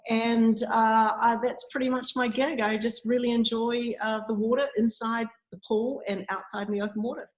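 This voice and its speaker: A woman's voice: fairly high pitch, steady tone, normal speed, hardly any pauses. New woman on an echoey video call. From New Zealand. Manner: chatty, frequent ums and uhs. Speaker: interviewee, swim coach. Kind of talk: casual interview answers. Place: at a swimming facility. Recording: echoey room sound.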